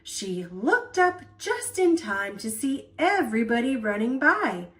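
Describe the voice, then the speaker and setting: A woman tells a children's story aloud with playful emphasis. Her voice is fairly high and very animated. She reads slowly and expressively, giving words room.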